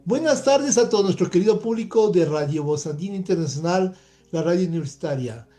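A man hosting a radio interview with a polished, measured presenter delivery from a studio. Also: high-pitched, expressive, warm tone, soft background music. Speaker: radio show host. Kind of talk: radio interview, formal welcome.

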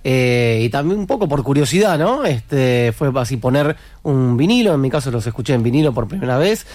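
A man's voice: medium pitch, lively intonation, fairly quick, darkish tone, no room sound. A man with an Argentine accent, a musician answering interview questions with explanatory anecdotes and frequent tag questions that seek agreement. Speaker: musician in a tribute band, interview guest.

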